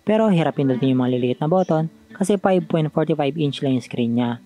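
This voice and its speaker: A man's voice: on the high side, expressive, a little fast, dark tone. A man with a Filipino accent giving a conversational, scripted voice-over as a tech review vlogger.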